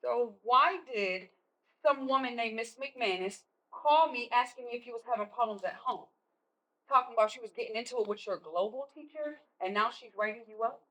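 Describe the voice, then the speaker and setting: A woman's voice: on the high side, normal speed, a bit bright, low-quality recording. A woman playing a no-nonsense American mother of a teenager in a stage play, with folksy, scolding phrasing. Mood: stern, questioning.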